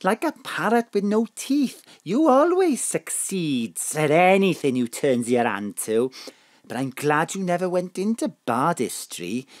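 Lively, cheery Welsh mam. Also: female voice, fairly low pitch, unhurried, dry recording. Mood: fond. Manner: colloquial, muddled, affectionate. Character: cheery older Welsh mam, muddled and affectionate. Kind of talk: audio drama acting.

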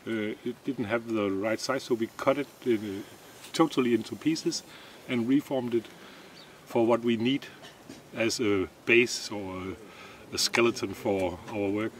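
A middle-aged man from Denmark, a visiting artist, talking in a halting way with many fillers, recorded outdoors in an open desert. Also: on the low side, steady tone. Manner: halting, plain speech with frequent fillers. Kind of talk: casual interview. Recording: distant mic, noisy outdoors.

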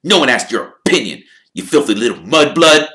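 A man reading an audiobook, voicing a spoiled, cruel teenage boy who talks in a smug, smooth, sneering way. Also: on the low side, animated, normal speed, pushed and bright, pitched above the performer's own voice. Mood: spiteful.

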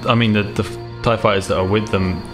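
A man's voice: fairly low pitch, steady tone, a little fast, music in the background. A man in casual table talk as a player in a role-playing game.

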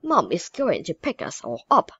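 A woman's voice: on the low side, huge pitch swings, normal speed, pushed and bright, slightly rough, dry recording, highs cut off, heavily acted. A woman reading aloud, voicing an eager young girl in a storybook.

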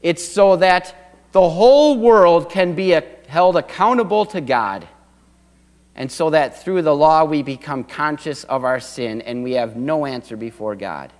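A middle-aged man preaches from the pulpit of a church sanctuary, building to earnest swells of emphasis. His voice sits a little on the high side for a man. The sound is picked up from a distance, and long reverb from the sanctuary trails after his phrases. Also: expressive, a little slow, darkish tone. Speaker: pastor. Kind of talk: sermon.

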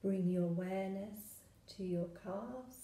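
Slow, mellow woman guiding a meditation. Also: mid-range voice, vocal fry, echoey room. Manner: soothing, gentle, with long pauses between short phrases. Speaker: meditation guide. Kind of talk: guided meditation.

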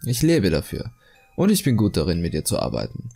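A man voicing a self-satisfied, calmly cruel murderer obsessed with beauty, in a measured, confiding horror monologue. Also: sits low, gravelly, husky, soft background music, exaggerated voice. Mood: smug, calm menace. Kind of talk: German creepypasta horror monologue, dramatic reading.

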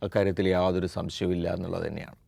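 A man's voice: sits low, level tone, rapid-fire, creaky, dark tone, dry recording. A man with a Kerala accent giving opinionated current-affairs commentary as a panelist. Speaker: panelist on a news discussion show.

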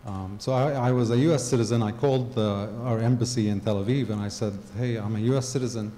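A middle-aged man from Gaza telling a personal story to a conference audience into a handheld mic, halting with frequent ums and restarts. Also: mid-range voice, steady tone, warm tone, a little hiss or hum. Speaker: conference speaker. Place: large hall with a stage. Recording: long hall reverb, faint ambience.

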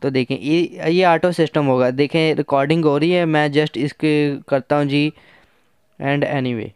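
Casting: male tech reviewer, very smooth clear voice, dry close sound, casual step-by-step explaining. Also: fairly high pitch, fairly even intonation, unhurried, a little mellow, no echo. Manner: conversational, instructional, mixes in English terms. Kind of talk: casual hands-on phone app tutorial.

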